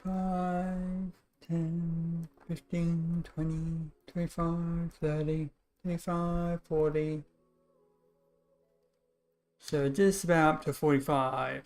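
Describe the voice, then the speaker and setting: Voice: medium pitch, little pitch movement, takes it slowly, a little mellow, soft background music. A game streamer giving casual commentary, muttering offhandedly to themselves while playing. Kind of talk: casual gameplay commentary, reading aloud.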